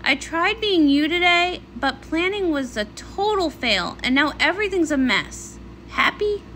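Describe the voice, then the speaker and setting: Woman acting a blunt, bright-voiced young pony princess. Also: high-pitched, sing-song, unhurried, slight background noise, pitched above the performer's own voice, exaggerated voice. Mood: frustrated. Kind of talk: comic read-along voice acting.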